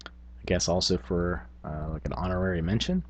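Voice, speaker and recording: A man's voice: sits low, moderate pace, no echo. A man hosting a tabletop gaming vlog, talking casually in a rambling, self-effacing, unscripted way. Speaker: tabletop gaming video host.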